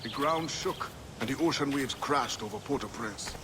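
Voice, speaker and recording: A man's voice: mid-range voice, normal speed, noisy background, natural voice. A man acting a dignified sea captain and assassin in a video game, solemn and formal in his speech. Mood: grave, sorrowful.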